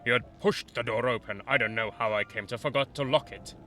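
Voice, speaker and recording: A man's voice: mid-range voice, a little fast, bright, forceful tone, close to an everyday voice. A man voicing a nervous, evasive young male student who stammers and hurries his words.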